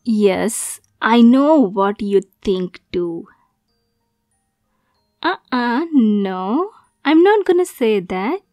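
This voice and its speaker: A woman acts out a young yandere kidnapper in love, sweet on the surface and possessive underneath. Her high voice teases and coos in a cutesy, extremely slow roleplay ASMR delivery. It is recorded dry, with faint music behind it. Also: lively intonation, darkish tone, no echo. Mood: playful, possessive. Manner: slow, cutesy, teasing, breathy asides.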